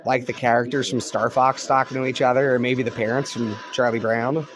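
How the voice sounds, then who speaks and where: A man's voice: mid-range voice, a little fast, slight background noise. A man hosting his own channel, chatting casually while he tunes a radio at night indoors, recorded on a cell phone. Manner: relaxed, musing commentary over radio. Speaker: shortwave radio hobbyist host. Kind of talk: casual chat.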